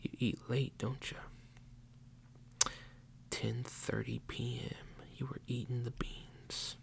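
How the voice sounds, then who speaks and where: A man whispering a playful mock police interrogation as an ASMR roleplay, slow and teasingly accusing. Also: mid-range voice, little pitch movement, vocal fry.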